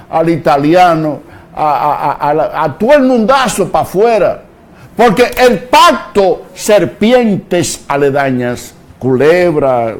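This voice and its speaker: A man preaching a fiery sermon, slow and deliberate, breaking into sudden loud shouted peaks. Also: a little high.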